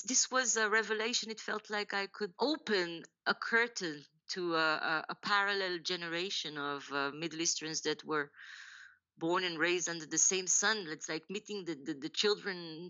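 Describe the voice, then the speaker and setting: A woman in her forties from Israel, a novelist telling her story in a podcast interview, thoughtful and halting with many restarts and laughs. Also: on the low side, sharp tone, dull audio. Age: 40s. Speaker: novelist guest.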